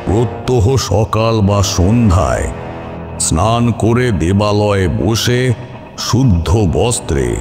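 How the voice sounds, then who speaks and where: An actor playing a solemn older male tantric priest in a horror cartoon, speaking formal, measured counsel. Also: fairly low pitch, lively intonation. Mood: grave warning. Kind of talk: dramatic Bengali horror cartoon voice acting. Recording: long reverb, music behind. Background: music.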